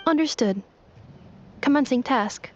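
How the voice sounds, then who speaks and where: An obedient female android, speaks fairly high and precise. Her phrasing is formal and emotionless, like a game automaton taking commands, in slightly muffled audio. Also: a little slow, a little hiss or hum. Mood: dutiful. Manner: flat, clipped, formal machine phrasing. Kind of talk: video game voice acting.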